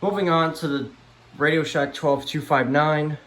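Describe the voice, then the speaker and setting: A man's voice: fairly high pitch, fairly even intonation, moderate pace, a bit bright. Male vlogger, casual and unscripted, mildly exasperated rambler. Roomy echo, slight background noise. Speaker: vlogger showing a weather radio collection. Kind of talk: casual unscripted show-and-tell. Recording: echoey room with faint background noise.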